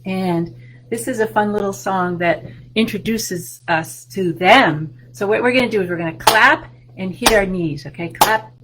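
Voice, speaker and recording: A woman in her sixties, a children's librarian, reading a picture book and showing plants to young children over a webcam from a room at home, in a sing-song voice with excited rises. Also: mid-range voice, noisy background. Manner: warm, sing-song and slow, with big excited rises for kids. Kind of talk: storytime reading and show-and-tell for children. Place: home room with bookshelves, indoors. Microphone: webcam video call.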